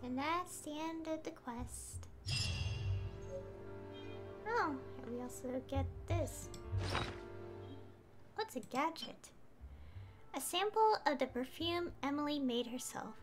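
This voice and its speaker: A woman chats casually as she streams a game. Her voice is on the high side and she talks very slowly, hesitating and trailing off as she rambles. Music is clearly audible behind her. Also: expressive. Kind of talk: casual live stream commentary.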